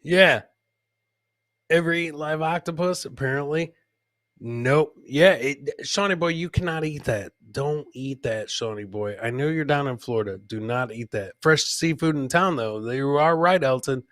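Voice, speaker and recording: A man's voice: on the high side, measured pace, a bit bright, no echo, clean studio sound. A man hosting a casual comedy show, commenting on clips and often repeating phrases for emphasis. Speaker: podcast host.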